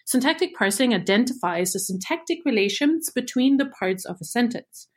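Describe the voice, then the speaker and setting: A woman's voice: a little high, lively intonation, normal speed. German-native woman explaining machine learning. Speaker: research engineer. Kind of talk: scripted explanation.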